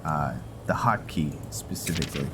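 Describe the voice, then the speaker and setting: A man's voice: rumbling low, normal speed, background noise. A man giving casual, laid-back commentary as he roleplays through a video game, musing aloud and chatting to viewers. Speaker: gaming video host. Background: game music.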